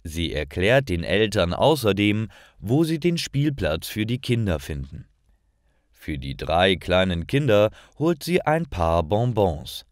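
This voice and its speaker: Deep-voiced man narrating slowly. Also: no room sound, studio quality. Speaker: narrator of German learning stories. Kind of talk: scripted story narration for language learners.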